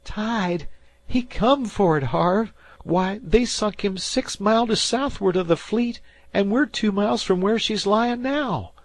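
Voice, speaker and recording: A man's voice: sits high, measured pace, voice pushed up. A man voicing a frightened teenage fisherman boy who talks in rustic old-time dialect. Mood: spooked.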